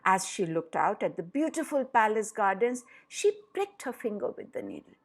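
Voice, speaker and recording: A woman narrating a fairy tale for children with deliberate, dramatic emphasis. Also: mid-range voice, very animated, vocal fry. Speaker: storyteller for children.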